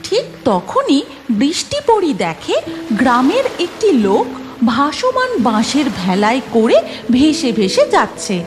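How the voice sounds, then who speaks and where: A woman reads scripted cartoon narration in a high-pitched voice. Her intonation is highly varied. Music plays clearly underneath. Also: wildly swooping pitch, a little slow.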